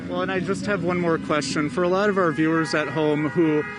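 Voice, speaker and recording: A male TV news reporter doing live field reporting outdoors at a protest, asking measured, polite questions. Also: on the high side, steady tone, loud music behind the voice. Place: outdoors at a night protest near a mall.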